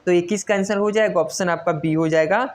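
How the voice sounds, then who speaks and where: A woman's voice: on the low side, quick pace, darkish tone. A woman teaches in a quiz-style exam lesson, reading out questions and stressing each answer repeatedly in an instructional way. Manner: instructional, repetitive emphasis on key answers. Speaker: exam coach.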